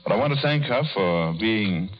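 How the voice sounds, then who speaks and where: A man's voice: lowish voice, animated, normal speed. A man giving a plainspoken, informal thank-you speech in the manner of a 1930s radio guest. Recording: muffled 1930s radio recording.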